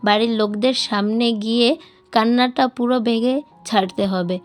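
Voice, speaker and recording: A woman's voice: on the high side, fairly even intonation, normal speed, faint music behind. A woman reading a novel aloud as a scripted audiobook narration.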